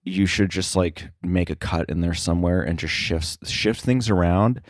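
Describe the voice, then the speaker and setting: A man with a deep voice gives casual interview answers in a dry recording. He talks haltingly, hedging and working out his point as he goes. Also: no echo. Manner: halting, hedging, thinking aloud. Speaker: podcast guest, sound editor.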